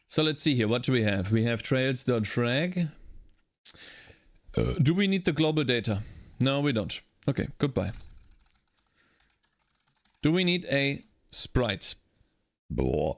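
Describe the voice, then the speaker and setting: A man's voice: mid-range voice, no echo. A man streaming his coding work, talking through his steps and greeting chat viewers by name. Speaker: livestreaming game developer.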